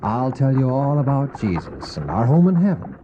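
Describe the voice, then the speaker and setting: An actor playing a gentle, weary widowed father in a radio drama, speaking tenderly and haltingly to his young son. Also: medium pitch, wildly swooping pitch, background noise. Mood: tender, earnest. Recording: dull old cassette audio.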